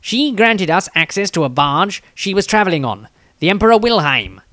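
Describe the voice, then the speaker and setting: Man acting conceited male scholar, raised pitch, pompous, lively intonation, storybook diary narration. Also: normal speed, no echo, studio quality, full character voice. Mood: suspicious, self-important. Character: conceited, self-satisfied male scholar of magic.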